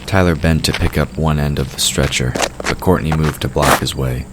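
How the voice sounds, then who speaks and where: Very deep-voiced male fantasy narrator. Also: fairly even intonation, normal speed. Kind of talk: scripted fantasy audio drama narration. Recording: clearly noisy background ambience. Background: outdoor ambience sound effects.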